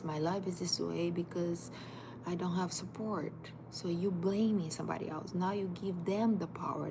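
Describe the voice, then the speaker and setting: Woman in her thirties, life coach, emphatic and direct, lowish gravelly voice. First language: Portuguese. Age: 30s. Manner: emphatic, direct, repetitive second-person urging. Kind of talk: motivational livestream talk. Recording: noisy background.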